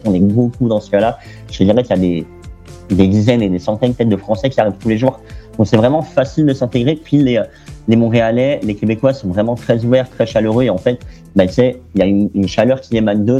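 A young man from France talks easily in an interview, chatty and loose, full of little fillers and restarts. His voice sits fairly low with a mellow, soft tone. Faint music hums underneath. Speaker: guest. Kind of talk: casual interview talk.

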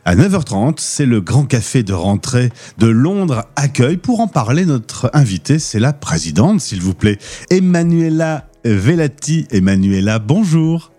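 A man's voice: medium pitch, very animated, a little slow, mellow. A man hosting a radio show, chatty and upbeat, linking songs and interviewing a guest. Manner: upbeat, chatty presenter with playful links. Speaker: radio host.

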